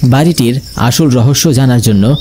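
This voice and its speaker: A man's voice: medium pitch, normal speed, background noise. A man narrating a scripted horror story in a dramatic storytelling style. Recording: loud music behind the voice.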